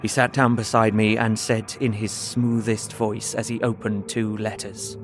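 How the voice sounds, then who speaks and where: An actor playing an earnest, anxious young solicitor held captive, narrating his journal in a measured, literary way for an audio drama. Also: on the low side, flat delivery, over music, lightly acted. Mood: tense dread.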